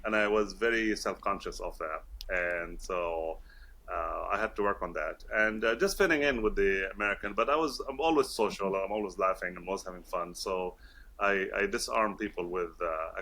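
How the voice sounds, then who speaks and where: A man in his fifties speaks with English learned at British schools, in a low and bright voice. He chats easily as an interview guest, laughing often and filling gaps with fillers and restarts. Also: normal speed. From Kuwait. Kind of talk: casual interview talk.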